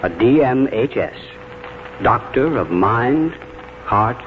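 An actor playing a formal college official in a declamatory, ceremonious manner in a 1940s radio drama. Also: male voice, medium pitch, lively intonation, slow. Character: formal college official conferring a high honor. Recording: 1940s radio broadcast, narrow band, faint noise.

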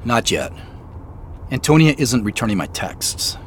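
An actor playing an inquisitive, slightly sheepish amateur sleuth in a naturalistic audio drama, talking in an easygoing, conversational way. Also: male voice, medium pitch, big pitch swings, measured pace. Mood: guarded, thoughtful. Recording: faint vehicle noise behind.

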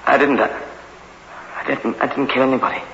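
Tense, frightened young prisoner, echoey recording. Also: male voice, mid-range voice, level tone, a little fast, vocal fry, a bit bright. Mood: subdued, anxious. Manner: stammering, pleading, nervous. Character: frightened, haunted young male prisoner, meek and scholarly. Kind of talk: 1960s radio suspense drama acting. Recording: echoey, muffled, noisy old radio recording.

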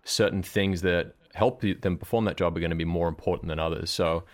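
A man's voice: low-pitched, steady tone, a little fast. A man, a marketing director, explaining his approach thoughtfully in a conversational interview, with frequent ums and uhs.

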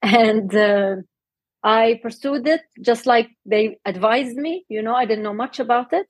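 A middle-aged woman from Lebanon, a health coach and guest, talking in a relaxed interview and laughing often. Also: a little high, measured pace, husky, no room sound. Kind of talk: casual interview talk.

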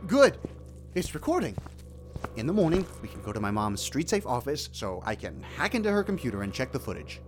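An actor playing a nervous young hacker who frets and gets exasperated. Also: male voice, wildly swooping pitch, brisk. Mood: planning. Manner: fretful, exasperated, rising to squeaks. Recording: background music.

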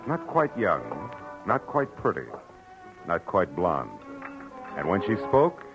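An actor playing a wry, tough private detective in a 1940s radio drama, terse and clipped. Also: male voice, sits low, huge pitch swings, slow, exaggerated voice. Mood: wry narration. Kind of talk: 1940s radio acting, first-person noir narration. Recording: dull old radio recording, music behind.

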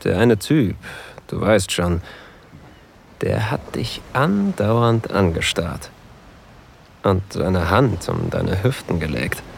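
An actor playing a possessive new lover in an intimate erotic audio drama, murmuring breathily and often whispering close to the microphone. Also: male voice, fairly low pitch, vocal fry, slight background noise, exaggerated voice. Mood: jealous, teasing. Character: possessive, seductive new lover, adult man, on a sailboat. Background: faint sea ambience.

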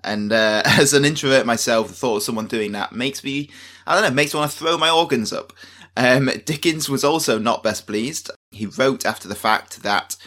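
A man's voice: mid-range voice, normal speed, sharp tone. A man with a Manchester accent, co-hosting a podcast in casual chat, rambling and self-deprecating with frequent restarts. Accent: Manchester English. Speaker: podcast co-host.

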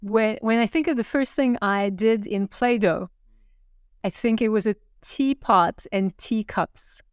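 A woman's voice: mid-range voice, unhurried, clean tone, dry recording, clean studio sound. A woman from Turkey, talking thoughtfully as a designer guest in an interview. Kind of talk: conversational interview.

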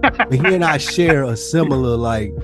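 A man's voice: medium pitch, expressive, normal speed, fairly bright tone, faint music behind. An American man hosting a casual podcast among friends, talking in loose, profane slang. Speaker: podcast host.

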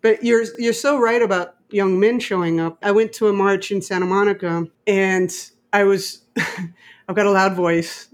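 A middle-aged American woman speaks at a medium pitch in a conversational, storytelling way. She is emphatic and openly opinionated as she makes her points. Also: fairly even intonation, a little slow. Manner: emphatic, opinionated, quotes others in dialogue. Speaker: independent filmmaker and interviewee.

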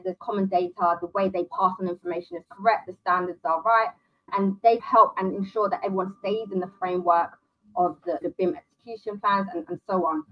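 A woman as an interview guest, briskly listing options and giving direct career advice. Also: medium pitch, highs cut off.

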